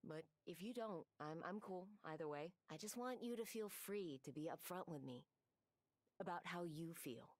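A woman's voice: on the low side, expressive, moderate pace, no echo. A woman playing a blunt, laid-back, self-assured young romantic partner in a video game cutscene, speaking frankly. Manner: frank, easygoing.

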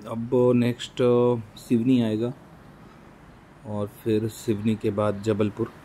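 A man talks through his road trip from the driver's seat, unhurried and pausing often between phrases. His voice is low and mellow. Faint road noise from the moving car hums underneath. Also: completely flat delivery. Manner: unhurried, pauses with fillers. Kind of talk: casual narration while driving.

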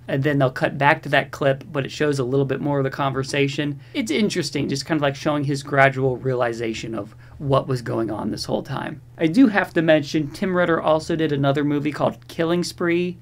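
A man with a voice slightly on the high side talks through a movie review very casually. He rambles in loose, slangy phrases and keeps a wry, sarcastic edge, as a host chatting to viewers.

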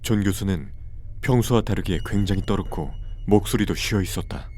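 A man's voice: deep voice, animated, fairly quick, darkish tone. A man playing a troubled widowed father in his thirties who narrates a scripted horror story and acts out his lines. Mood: ominous, subdued.

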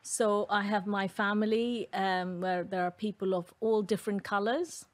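A woman's voice: mid-range voice, fairly even intonation, normal speed. Woman in her fifties from India. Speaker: architect, guest. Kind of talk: panel interview answer. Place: room set up for broadcast. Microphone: studio mic, headphones.

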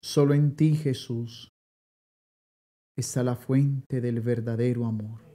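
A man in his thirties, a priest leading Mass and adoration prayers slowly and solemnly in a small chapel. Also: medium pitch, fairly even intonation, warm tone. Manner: slow, solemn liturgical delivery.

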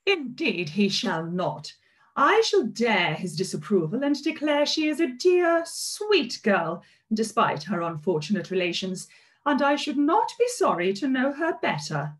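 A woman plays a snobbish lady with a high, lilting voice. She drawls slowly and archly, mocking in theatrical period style. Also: very animated. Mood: arch, amused. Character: haughty, teasing, snobbish Regency society lady. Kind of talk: theatrical period drama read-through. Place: kitchen at home, on a video call.

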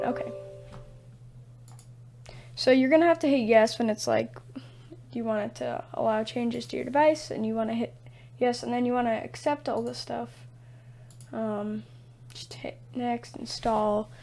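A woman presenting a casual software tutorial in a halting, hesitant way. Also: a little high.

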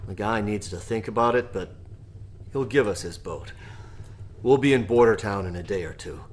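Weary, low-voiced post-apocalyptic leader played by a man. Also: normal speed, close to an everyday voice. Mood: resolved. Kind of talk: audio drama acting.

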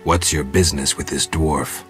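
A stoic, world-weary monster slayer in a fantasy video game. The voice sits extremely low, with terse, deadpan delivery. Faint music runs behind it. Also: male voice, normal speed. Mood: cool menace.

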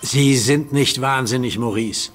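An actor playing a calm, firm hero who talks patiently and commands in a radio play. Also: male voice, medium pitch, measured pace, faint music behind, lightly acted. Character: calm heroic young man, firm leader.

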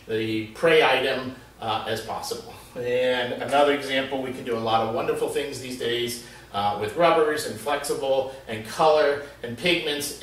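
A man in his fifties from the Florida Keys, an animal behaviorist explaining a concept in a measured way with frequent fillers, filmed on a covered porch. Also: medium pitch, fairly bright tone. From Florida Keys, USA. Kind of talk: explanatory video lesson. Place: covered porch with wooden wall. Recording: very echoey, slightly distant.

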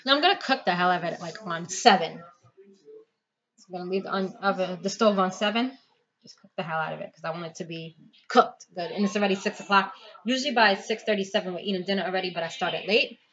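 A woman's voice: lowish voice, fast talker. Woman chatting over noisy, dull kitchen recording. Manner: informal, chatty, narrating actions while busy with hands. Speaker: home cooking vlogger. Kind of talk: casual cooking vlog chat, talking viewers through steps. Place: home kitchen, indoors. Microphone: built-in camera or phone mic within arm's reach. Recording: dull lo-fi audio, loud noise, clicking.

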